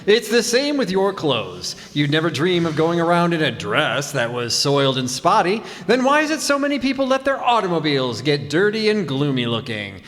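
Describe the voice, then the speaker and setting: A man's voice: on the high side, big pitch swings, moderate pace, hardly any pauses, fairly bright tone. A man playing a folksy old-time radio announcer delivering a persuasive advertising read. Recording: echoey hall, music behind.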